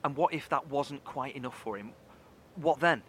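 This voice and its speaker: An actor plays an inquisitive man in a horror audio drama. His voice sits at a middle pitch and sounds earnest and analytical, always probing. A faint rumble lies underneath. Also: normal speed, a bit bright, close to an everyday voice. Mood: intrigued, urgent. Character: inquisitive, earnest man piecing a mystery together.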